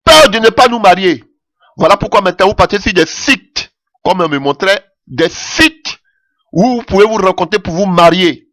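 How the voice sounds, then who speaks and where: A man's voice: lively intonation, moderate pace, a bit bright, dry recording, clean studio sound. A man preaching a sermon with passion, calling out alleluia often as his voice rises. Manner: impassioned, rising pitch with frequent alleluias.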